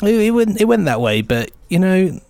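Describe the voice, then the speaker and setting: A man's voice: high-pitched, very animated, normal speed, creaky, a little mellow. A man co-hosting a podcast in a casual, chatty style, jokey and rambling. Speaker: podcast co-host.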